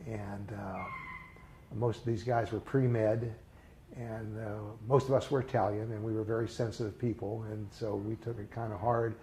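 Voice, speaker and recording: An older man talks through his memories in a mellow, rather low voice, stopping and restarting often and filling gaps with "uh" and "you know". There is a faint hiss of background noise under his voice. His tone is reflective and unhurried. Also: little pitch movement, low-quality recording. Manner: halting, many fillers and restarts, reflective. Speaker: interviewee. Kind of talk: recalled personal memories in a casual interview.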